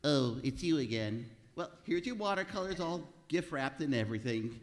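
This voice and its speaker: An actor playing a frantic, high-strung store clerk on the edge of breakdown, stammering and repeating himself melodramatically in old-time radio comedy. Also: male voice, animated, normal speed, lightly acted. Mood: weary. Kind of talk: 1940s radio comedy acting before a live audience. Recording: echoey hall recording of stage show.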